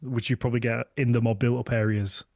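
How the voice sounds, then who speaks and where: A man's voice: mid-range voice, level tone, fast talker, gravelly, darkish tone, slight background noise. A man hosting a podcast in casual chat, rambling and trailing off mid-thought. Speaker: podcast host.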